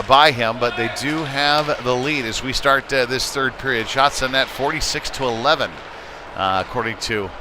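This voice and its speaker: Male hockey play-by-play announcer in noisy rink. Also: medium pitch. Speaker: play-by-play commentator. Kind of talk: live ice hockey commentary. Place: indoor youth hockey arena. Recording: noisy arena with clattering ambience.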